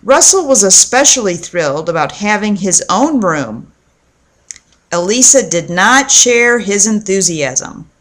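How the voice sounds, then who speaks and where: A woman narrating a children's storybook aloud in a measured, clearly phrased read-aloud delivery. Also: medium pitch, fairly bright tone. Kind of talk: storybook narration with acted dialogue.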